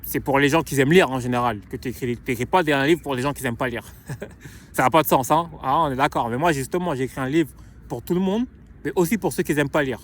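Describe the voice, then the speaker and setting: A man's voice: medium pitch, quick pace. A young man talking casually in a vlog, slangy and rambling, restarting and repeating phrases. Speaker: YouTuber promoting his book.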